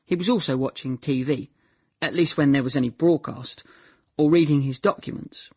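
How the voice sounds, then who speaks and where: An actor playing a naive young man in a radio drama monologue, recalling his childhood in a deadpan, chatty way, often correcting himself. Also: medium pitch, normal speed, slightly rough, no echo, clean studio sound, lightly acted. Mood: wry, animated. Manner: deadpan, chatty, self-correcting, trailing into "yeah".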